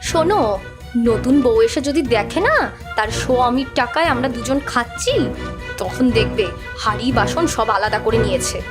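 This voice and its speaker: High-voiced nagging village wife, acted by a woman. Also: moderate pace, over music, natural voice. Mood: warning. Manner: nagging, firm. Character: sharp-tongued, insistent young village wife. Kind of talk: Bengali cartoon folk-tale acting.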